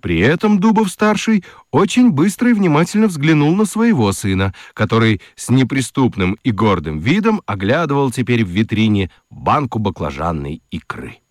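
A man narrating a children's radio play in an expressive, deliberate, wry storytelling style. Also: medium pitch, no echo. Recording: dry studio with music underneath. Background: music.

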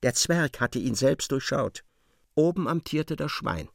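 Male narrator for a radio drama: mid-range voice, animated melody, close dry studio recording. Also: mid-range voice, measured pace, creaky, no echo.

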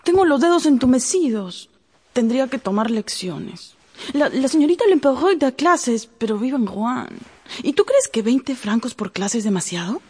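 A woman's voice: a little high, big pitch swings, moderate pace, a little mellow, breathy edge. An actress playing a discontented, restless married woman in a radio drama, with a theatrical, sighing delivery. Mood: coy, fretful. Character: discontented, restless married Frenchwoman, melodramatic and yearning.

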